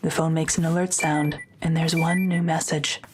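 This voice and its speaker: A woman narrates an audio drama in a lowish voice with very little pitch movement. Her delivery is neutral and matter-of-fact, with slight noise in the background. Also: level tone, moderate pace. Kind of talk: scripted present-tense audio drama narration.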